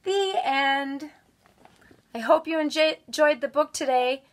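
A middle-aged woman reading a children's picture book aloud at home with expressive, drawn-out storytelling delivery. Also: high-pitched. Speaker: volunteer guest story reader. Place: small room at home.

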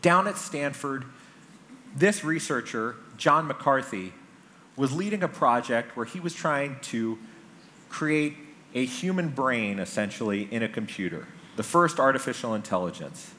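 A middle-aged man delivers a prepared conference talk, telling a story in deliberate, emphatic phrases with pauses for effect. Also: medium pitch, animated, pushed and bright. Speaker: conference speaker. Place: large conference hall stage. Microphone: headset microphone. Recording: stage talk with background noise.

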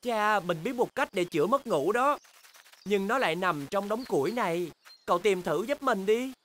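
A woman's voice: medium pitch, animated, normal speed, a little hiss or hum, lightly acted. A woman voicing a helpful, patient young polar bear in a children's cartoon dub, speaking in a reassuring, encouraging way. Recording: background cartoon music.

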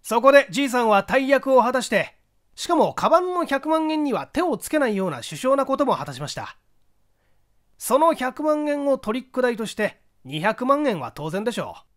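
A man's voice: very high-pitched, sing-song, moderate pace, pitched above the performer's own voice. A man playing a hasty, confident newspaper reporter in a mystery story, eagerly and emphatically laying out his deductions. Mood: excited, assertive.

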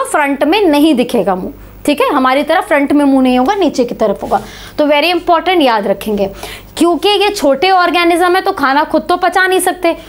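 A high-pitched woman in her thirties gives an online biology lecture in a small studio. Her voice is a touch hoarse from a sore throat, and she keeps throwing questions at her students and nudging them to answer. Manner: animated, asks students questions, calls them beta. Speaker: biology teacher. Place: small indoor studio before a slide board.

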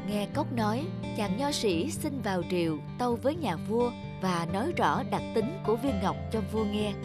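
Woman narrator, scripted folk tale, storytelling, mid-pitched, clear background music. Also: lively intonation, moderate pace.

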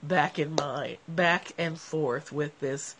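A woman's voice: low-pitched, slow, noisy background. Nasal woman with a cold, musing. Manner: animated, gestures a lot while talking. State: has a cold. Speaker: livestream host. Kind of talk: casual reflective talk to viewers. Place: covered outdoor porch. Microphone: phone at arm's length.

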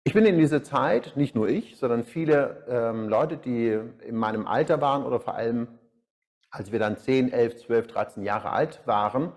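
Middle-aged guy from iran doing a live stream seminar talk, medium pitch, lapel mic. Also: normal speed, a little mellow. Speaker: seminar speaker and coach. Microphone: small lapel mic.

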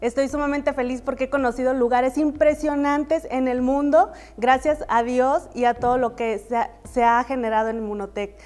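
A woman's voice: sits high, fairly even intonation, moderate pace, few pauses, darkish tone. A woman in her thirties with a Mexican accent, giving an enthusiastic testimonial on a lapel mic. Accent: Mexican Spanish. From Chiapas, Mexico. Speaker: company testimonial speaker.

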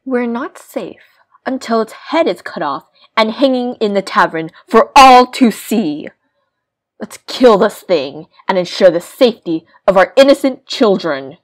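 A woman's voice: a little high, expressive, unhurried. A woman acting a scheming, vindictive young villainess in an audio drama, smug and commanding. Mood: commanding, menacing. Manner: commanding, coolly menacing.

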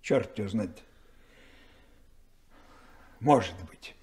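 An actor playing an evasive, resentful ship's chief engineer in a 1970s Soviet radio play, with a halting manner. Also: male voice, fairly low pitch, animated, a little mellow, slightly rough, heavily acted. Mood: defensive, resentful. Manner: hesitant, halting, hemming.

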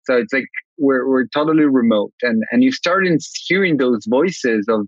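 Relaxed man on a dull, lo-fi video call. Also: medium pitch, normal speed, no room sound. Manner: relaxed, many ums and fillers. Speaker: guest, company CEO. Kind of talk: casual video-call interview.

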